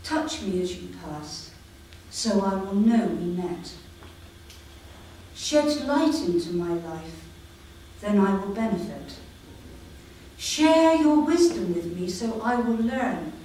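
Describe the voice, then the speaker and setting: A woman reading her own poems at a live poetry evening, slow and measured, with light humour and no microphone. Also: medium pitch, big pitch swings, warm tone, slight background noise. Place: community hall with live audience. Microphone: no microphone, voice projected. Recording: echoey hall, voice from far off.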